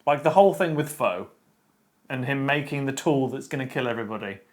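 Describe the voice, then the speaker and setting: A man's voice: mid-range voice, lively intonation, a little fast. A man chatting casually in a discussion, giving his views in an opinionated, emphatic way. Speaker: discussion show panelist. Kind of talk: casual chat, book discussion.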